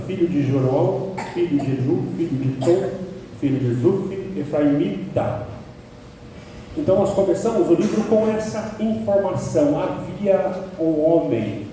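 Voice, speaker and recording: A man's voice: on the high side, far from the mic, a little hiss or hum. Man preaching in an echoey church hall. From Paraná, Brazil. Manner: emphatic, builds to sharp peaks on key words. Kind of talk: expository sermon. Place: church sanctuary.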